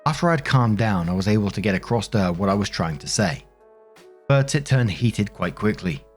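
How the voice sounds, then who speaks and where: A man with a fairly low voice narrates online stories for listeners. He reads posts and comments aloud in a casual host style. Music plays faintly behind him. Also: expressive, normal speed.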